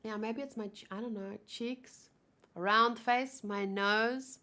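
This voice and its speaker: A woman's voice: a little high, steady tone, slow pace, bright, forceful tone. A woman whose first language is Afrikaans, chatting casually in a rambling, giggly way and often correcting herself as she hosts a manga read-along.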